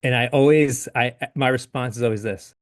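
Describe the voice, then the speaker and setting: A man in his late thirties, a guest in a casual interview, talking in a halting way with frequent restarts and easy laughs. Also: mid-range voice, no echo, studio quality. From Upstate New York. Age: 30s.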